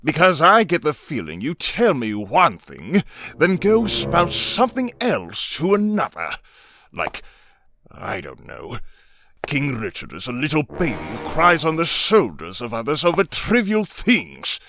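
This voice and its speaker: A man's voice: huge pitch swings, bright, forceful tone, heavily acted. A man voicing a proud, hot-tempered king and father, sarcastic and menacing, in a fan comic dub. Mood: mocking, menacing. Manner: sarcastic, menacing, swinging from slow to booming.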